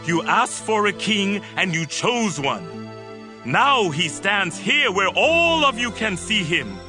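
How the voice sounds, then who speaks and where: A stern solemn prophet man, real slow, pitched high, preaching warnings at his people in a bible audio drama. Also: big pitch swings, exaggerated voice. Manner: slow, grave, exhorting. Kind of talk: dramatized scripture reading, oratory. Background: music.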